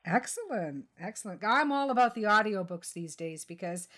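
A woman's voice: mid-range voice, expressive, normal speed, fairly bright tone. A woman podcast host talking in a chatty, encouraging, conversational interview style.

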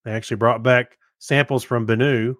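A man's voice: mid-range voice, steady tone, normal speed, fairly bright tone, no echo, studio quality. A man co-hosting a podcast in casual chat, with loose, hesitant phrasing that tends to trail off. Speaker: podcast co-host.

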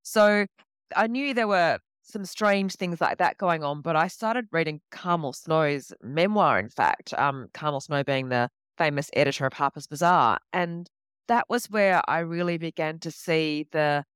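A woman's voice: sits low, a little slow, fairly bright tone, smooth, no echo, studio quality. A woman novelist answering interview questions in a reflective, explanatory, conversational way.

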